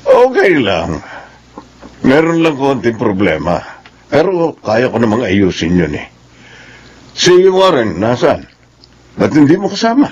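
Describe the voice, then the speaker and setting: A stern, domineering father of middle age for radio drama. His voice is husky and commanding, and it moves up and down a lot as he insists on being obeyed. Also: medium pitch, wildly swooping pitch, measured pace, pauses often, heavily acted. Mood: chatty, familiar. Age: middle-aged. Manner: commanding, emphatic.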